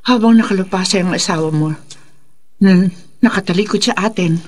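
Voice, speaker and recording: A woman's voice: medium pitch, a little fast, darkish tone, lightly acted. An actress playing a resolute faith healer mother with a solemn, authoritative delivery in a horror radio drama. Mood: grave, commanding.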